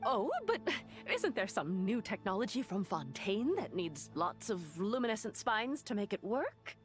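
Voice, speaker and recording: An actress playing an eager, inquisitive, gossipy female merchant in a video game, with an animated, polite and teasing manner. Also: mid-range voice, unhurried, faint music behind. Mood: curious.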